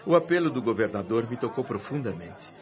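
An actor with a Brazilian accent playing an earnest, humble newcomer in a radio drama, deferential and a little hesitant. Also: male voice, medium pitch, big pitch swings, brisk, a faint crowd, close to an everyday voice. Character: earnest, humble male newcomer eager to volunteer. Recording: dull lo-fi radio drama sound.

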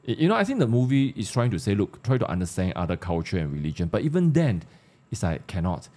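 A man with a very deep, mellow voice and a Malaysian accent. He talks informally, as in a podcast discussion. His phrases come out haltingly, with words repeated while he works out the thought. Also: big pitch swings. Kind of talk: casual discussion of social issues.

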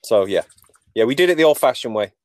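A man's voice: mid-range voice, animated, fast talker, darkish tone, no echo, studio quality. A man in his forties with a Manchester accent, a podcast guest telling stories in a jokey, self-deprecating way. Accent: Manchester English. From England. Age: 40s. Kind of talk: casual interview chat.

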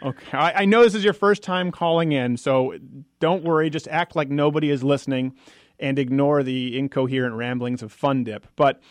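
A young adult man hosting a political talk radio show, talking fast and argumentatively with frequent asides. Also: mid-range voice, no echo, clean studio sound. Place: radio studio.

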